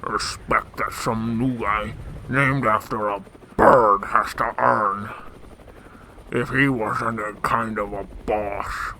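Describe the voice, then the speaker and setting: Sharp, gravelly blustering crime boss played by a man. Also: on the low side, steady tone, takes it slowly, slight background noise, exaggerated voice, poor audio. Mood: pompous, affronted. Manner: pompous, indignant. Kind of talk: radio drama acting.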